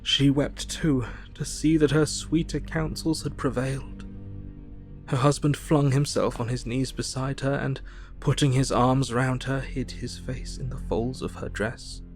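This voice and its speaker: A man playing a sombre, compassionate Victorian gentleman, narrating a diary in measured tones for an audio drama. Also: medium pitch, steady tone, faint music behind, natural voice. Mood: moved, sorrowful.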